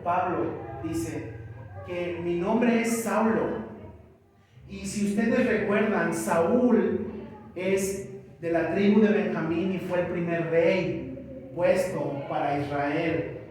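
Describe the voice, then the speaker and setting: A high-voiced man preaches at an unhurried pace, giving each phrase room. He is picked up from a distance in a very reverberant church, with faint ambient noise behind him. He explains scripture and now and then speaks in the apostle's voice. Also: a little mellow. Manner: expository preaching, voicing Paul's words in quotes. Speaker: pastor. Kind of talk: sermon. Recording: echoey church from a distant mic.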